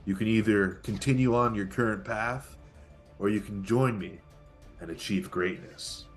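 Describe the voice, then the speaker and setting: A man's voice: lowish voice, moderate pace, soft background music, lightly acted. A man playing a smug, pushy pickup-artist guru in a comedic table read, with a coaxing salesman manner. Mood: tempting, ominous.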